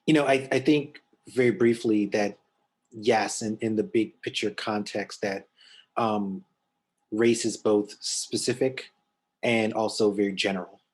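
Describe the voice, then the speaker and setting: Middle-aged New man, panelist on a video call, low husky even voice, halting. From United States. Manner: halting, many restarts and fillers.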